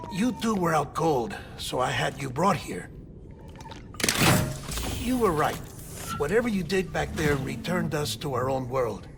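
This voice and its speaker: Casting: a gentle, melancholy man, lab-grown outsider in a cinematic game; slow storyteller delivery over a music bed. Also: on the high side, expressive, vocal fry, full character voice. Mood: gently amused. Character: melancholy man, lab-grown medical colleague, earnest and confessional. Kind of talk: cinematic video game voice acting. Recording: background music under dialogue.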